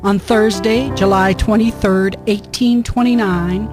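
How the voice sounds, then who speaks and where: Guitar music plays clearly beneath a woman's medium-pitched voice. She narrates history as a storyteller, formal and measured, reading from a script. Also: steady tone.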